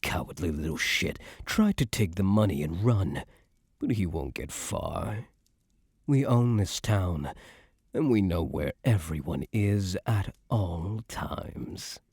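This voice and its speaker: A man playing a cocky young mafia heir who is a doting but menacing boyfriend, in an intimate ASMR roleplay with slow, smug tough-guy slang. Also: low-pitched, big pitch swings, darkish tone, slightly rough, dry recording, studio quality, heavily acted. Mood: smug, disdainful.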